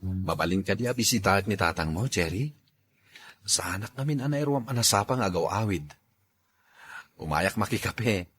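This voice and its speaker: Guy acting as friendly young ilocano bachelor in a radio drama, low husky voice, really animated. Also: normal speed, long pauses, full character voice. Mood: courting. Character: friendly gentlemanly young bachelor, Daniel, courting shyly.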